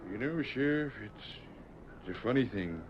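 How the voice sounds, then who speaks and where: An actor playing a remorseful small-town banker with an American accent and a folksy, ungrammatical drawl, in old-time radio western style. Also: male voice, medium pitch, lively intonation, moderate pace, natural voice. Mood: reflective, remorseful. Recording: dull, noisy vintage radio recording.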